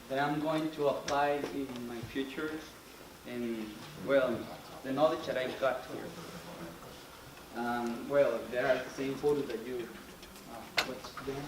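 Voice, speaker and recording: A young man presenting his student project off the cuff, hesitant and searching for words. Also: medium pitch. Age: young adult. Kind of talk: unscripted poster presentation. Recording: echoey, noisy room, distant mic.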